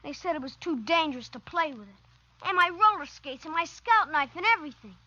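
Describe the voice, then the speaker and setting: Woman as a shrill, sulky young boy. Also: lively intonation, a little fast, bright, forceful tone, no echo. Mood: sulky, indignant. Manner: whiny, petulant, slangy. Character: sulky, indignant young boy, wholesome American son. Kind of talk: 1950s radio drama acting. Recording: muffled vintage 1950s radio recording.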